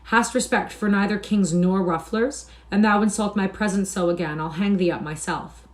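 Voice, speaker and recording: A woman's voice: mid-range voice, moderate pace, lightly acted. A woman voicing a burly male gang chief in a storybook, firm and good-natured, with archaic rogue speech. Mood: threatening. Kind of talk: storybook character reading.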